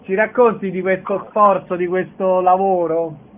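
A man's voice: high voice, steady tone, unhurried, narrow, tinny audio, heavy background noise. A man hosting a radio theatre show, asking guests short questions and announcing show dates. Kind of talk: radio interview.

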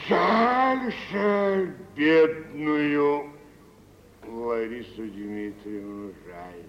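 An actor playing a worldly, self-assured rich older businessman, speaking sententiously in mid-century radio theatre style. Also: on the high side. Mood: pensive admiration. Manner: deliberate, sententious. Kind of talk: 1940s-50s radio theatre acting. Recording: old radio recording, muffled, reverberant, hissy.

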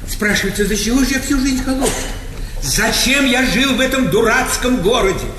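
A man's voice: very high-pitched, moderate pace. An actor playing an exasperated old aristocratic grandfather in a stage comedy, declaiming with indignation. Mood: indignant. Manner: declamatory, indignant rhetorical questioning. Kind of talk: theatrical stage comedy acting. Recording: echoey theatre hall, distant mics, noisy.

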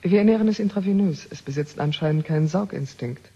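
An actor playing a proud scientist with a husky voice in a vintage radio play. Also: male voice, high-pitched, fast talker, mellow. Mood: proud, matter-of-fact. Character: proud male scientist coaching his artificial creation. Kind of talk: 1970s German radio play acting. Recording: muffled vintage radio recording.

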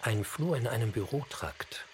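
A man reading scripted narration for a radio feature, with a slightly husky voice. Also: medium pitch, lively intonation, moderate pace, slight background noise, close to an everyday voice.

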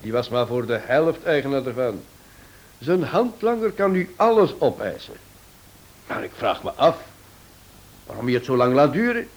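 An actor playing a shrewd detective in a radio serial, explaining step by step in a theatrical, rhetorical way. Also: male voice, medium pitch, big pitch swings, moderate pace, long pauses, poor audio. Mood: firm, challenging. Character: shrewd male detective theatrically unmasking a smuggling ring.

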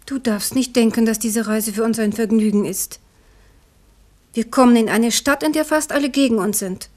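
A woman's voice: fairly high pitch, normal speed, a little mellow, natural voice. An actress playing a stern, devout queen who speaks earnestly and firmly to her young son in an old radio drama. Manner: earnest, warning, firm. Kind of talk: 1970s radio drama acting.

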